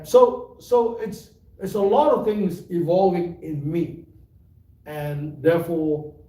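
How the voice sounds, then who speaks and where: Malaysian-british accented guy teaching tai chi, takes his time, lots of uhs, kinda high voice, echoey room. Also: warm tone, far from the mic. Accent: mixed Malaysian and British. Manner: unhurried, thoughtful, rambling with many fillers. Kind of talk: livestream teaching talk.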